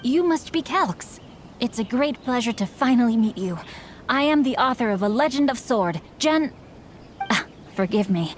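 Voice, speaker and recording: A man's voice: extremely high pitch, expressive, normal speed, a little hiss or hum. A man voicing a courteous young male swordsman and novelist who speaks formally and politely, in a game. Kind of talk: game voice acting. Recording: game cutscene with music underneath. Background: game music.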